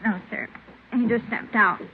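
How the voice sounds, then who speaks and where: An actress playing a polite, helpful American store clerk in a 1950s radio drama. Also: female voice, fairly high pitch, fairly even intonation, normal speed, husky. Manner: polite, obliging. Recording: muffled, noisy old radio recording.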